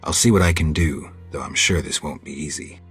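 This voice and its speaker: An actor playing a gruff, stoic monster hunter in a video game, terse and deadpan, with a gravelly voice. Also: male voice, very deep voice, fairly quick, faint music behind, full character voice. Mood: deadpan, guarded. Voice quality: gravelly, husky.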